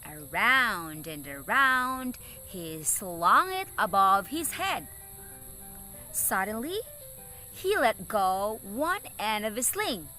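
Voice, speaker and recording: A woman reads a children's story aloud as a teacher would. Her delivery is slow and deliberate, with very expressive, wide-swinging pitch. Music plays behind her.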